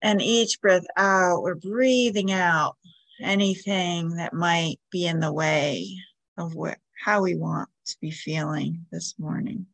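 A woman leading a guided meditation as a podcast host, giving soothing, gentle instructions with long pauses. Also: lowish voice, gravelly, dry recording.

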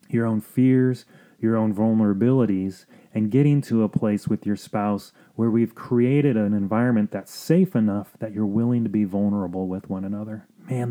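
A man's voice: lowish voice, mellow. A man speaking as a counselor hosting a podcast, explaining things informally in an earnest, reflective way. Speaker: marriage counselor and podcast host.

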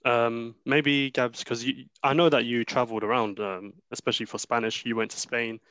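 A young man leading a casual discussion, speaking in a measured way and asking questions. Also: medium pitch, steady tone, a bit bright, clean tone, dry recording, highs cut off. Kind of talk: casual chat.

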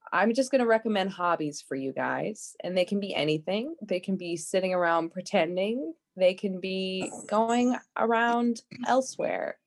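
A woman's voice: mid-range voice, normal speed, hardly any pauses, close to an everyday voice. A woman playing an unorthodox marriage counselor, blunt and casual, in an improvised comedy sketch.

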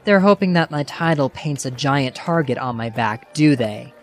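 A woman's voice: very deep voice, animated, normal speed. A woman voicing an arrogant young male sword master who talks in a dry, curt, sarcastic way. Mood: sardonic.

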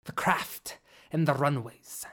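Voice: mid-range voice, slow, a bit bright. A narrator voicing an aged male alien warlord with a scratchy, whispery voice, snarling and commanding in an audiobook. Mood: cold. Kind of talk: audiobook character voice.

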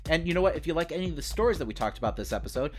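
A man's voice: fairly high pitch, expressive, very fast, faint music behind. A man co-hosting a casual pop culture podcast, talking conversationally with lots of "like" and "you know". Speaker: podcast co-host.

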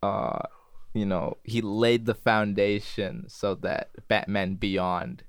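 A man with a fairly low voice chats casually in a podcast, filling in with agreement sounds and restarting his sentences. The recording is dry and close. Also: steady tone, takes it slowly, gravelly, clean tone, no room sound. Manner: chatty, many backchannels and restarts. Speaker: podcast co-host. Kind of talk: casual chat.

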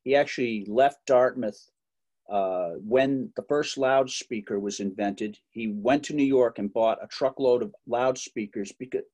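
A man's voice: mid-range voice, measured pace, darkish tone. Chatty middle-aged man telling stories. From Massachusetts. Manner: chatty, joking, many fillers and restarts. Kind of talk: relaxed interview storytelling.

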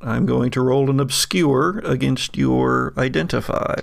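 Male game master for a horror tabletop podcast: deeply creaky voice, deliberate scene-setter, dry recording. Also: no echo. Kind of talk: narrating a tabletop horror game, with casual chat.

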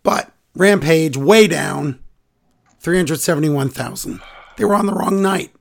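A man hosting a casual wrestling podcast, hoarse and raspy from laryngitis, his voice sometimes dropping to a whisper. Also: on the high side, unhurried. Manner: slips into whispers. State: hoarse from laryngitis, voice coming back. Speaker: podcast host.

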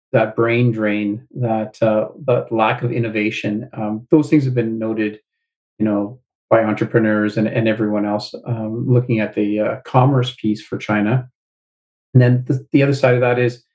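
A man speaks as an interview guest in a measured, thoughtful way, with fillers along the way. His voice is gravelly and his tone is warm and dark. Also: mid-range voice, poor audio. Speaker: guest author. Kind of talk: casual interview talk.

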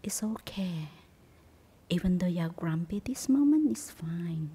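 Breathy, near-whispering woman guiding meditation. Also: fairly low pitch, animated. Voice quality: soft, breathy, husky, often near whisper. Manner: gentle, soothing, unhurried instruction with long pauses. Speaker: meditation teacher. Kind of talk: guided meditation.

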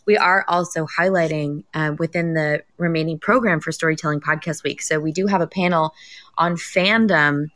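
A woman's voice: deep voice, steady tone, moderate pace, fairly bright tone, dry recording. A woman hosting a live event and giving closing announcements. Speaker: event host.

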